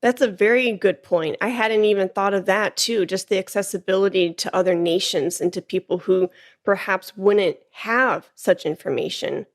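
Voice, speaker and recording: A woman's voice: medium pitch, moderate pace. Enthusiastic woman podcast host. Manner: enthusiastic, warm affirmations. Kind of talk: casual interview chat.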